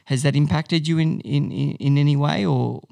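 A man's voice: a little high, steady tone, normal speed, creaky, dark tone, no echo. A man interviewing a guest, asking rambling questions with stumbles and restarts.

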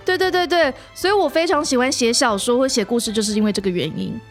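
A woman's voice: high-pitched, fast talker, soft background music. A woman with a Taiwanese Mandarin accent chats casually and playfully as a podcast host explaining her storytelling. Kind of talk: casual chat.

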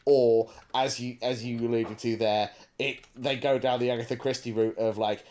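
A man with a medium-pitched voice chats as a podcast co-host. He talks in a casual, discursive way, working out his thoughts as he goes and slipping in hesitant asides. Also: fairly even intonation, moderate pace, darkish tone.

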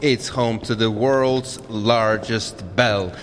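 An actor playing a stern, anxious Russian escort in an audio drama, with a Russian accent and a dramatic, exasperated manner. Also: male voice, on the low side, steady tone, slow pace. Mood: proud, solemn. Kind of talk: audio fiction drama acting. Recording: echoey reverberant hall, background noise.

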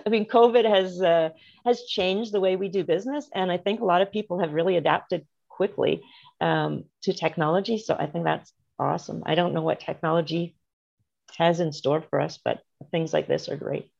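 A middle-aged woman chats as a guest, friendly and rambling, laughing easily. Her voice sits low with a gravelly fry, and the remote call audio comes through dull and lo-fi. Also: fairly even intonation, normal speed, darkish tone. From Canada. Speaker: guest, marketing strategist. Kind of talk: casual interview chat. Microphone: remote video call.

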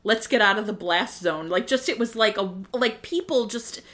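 A woman's voice: mid-range voice, moderate pace, fairly bright tone. A woman co-hosting a podcast, chatting casually in an enthusiastic, emphatic and colloquial way. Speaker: podcast co-host. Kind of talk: casual chat.

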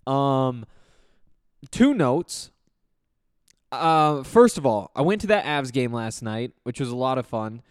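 A young man, slightly hoarse after losing his voice, hosting a casual solo sports podcast and rambling with lots of fillers. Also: mid-range voice, sing-song, slow pace, long pauses, dry recording, studio quality. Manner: rambling, full of fillers and restarts.

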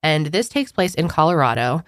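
A woman's voice: low voice, big pitch swings, normal speed, no echo, clean studio sound. A young woman co-hosting a podcast, chatting and narrating a story, nasal with a scratchy throat from a cold. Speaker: podcast co-host. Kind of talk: casual chat and narration.

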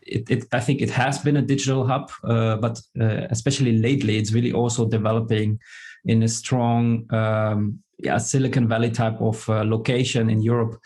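A middle-aged man from Germany, speaking as a guest in a casual podcast interview, pausing often with fillers and restarts. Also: medium pitch, flat delivery, a little mellow. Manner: hesitant, many fillers and restarts. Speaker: guest, tech executive.

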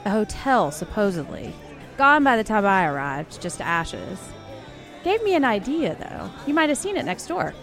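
A woman's voice: medium pitch, very animated, moderate pace, fairly bright tone, faint music behind. A young woman playing a sardonic, chatty bartender in her twenties, with a wry, casual delivery in a scripted audio drama.

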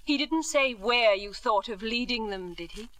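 An actress playing a bitter, grieving wife and mother, sardonic and deliberate, in old radio drama style. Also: on the high side, animated, a bit bright. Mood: bitter mocking. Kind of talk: 1940s-50s radio drama acting.

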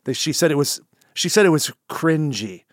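A man's voice: on the high side, expressive, moderate pace, no echo. A man co-hosting a podcast from the studio, bantering and joking in a casual bro-ish way. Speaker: podcast co-host. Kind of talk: casual chat.

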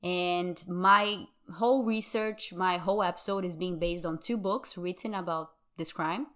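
A woman from Brazil whose first language is Portuguese hosts her podcast in English. She sounds young, and her voice sits a bit low. She talks in a chatty, easygoing way and laughs often. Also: fairly even intonation, a little slow, a bit bright. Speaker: podcast host. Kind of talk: casual podcast chat.

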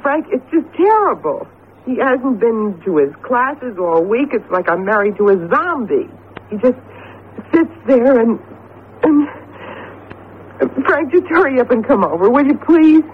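An actress playing a chatty, excitable wife in a radio drama, affectionate and anxious, with an exclamatory, expressive delivery. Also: female voice, on the high side, measured pace. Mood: anxious, pleading. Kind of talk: 1970s radio drama acting. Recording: muffled, noisy vintage radio broadcast.

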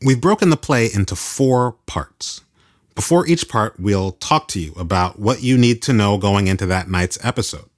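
A man's voice: on the low side, lively intonation, moderate pace. A man hosting a radio arts program, delivering scripted narration as a writer and critic. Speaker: host, writer and theater critic.

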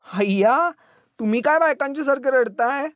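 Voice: mid-range voice, lively intonation, a little fast, darkish tone, no room sound, lightly acted. A narrator voicing a touchy, dramatic young village wife who talks in an emotional, scolding, plaintive way. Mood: amused, teasing.

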